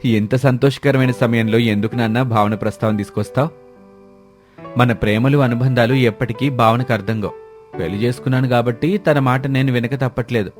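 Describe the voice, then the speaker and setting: A man's voice: medium pitch, fairly even intonation, a little fast, a little mellow, faint music behind, close to an everyday voice. A man voicing a dutiful, hesitant young husband and son in a story, mild and pleading. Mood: friendly, resigned.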